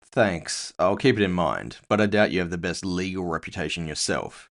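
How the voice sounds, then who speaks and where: Man as low-voiced, world-weary cyberpunk bounty hunter. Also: normal speed. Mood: wary, terse. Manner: gritty, terse, first-person storytelling. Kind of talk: scripted audio fiction narration.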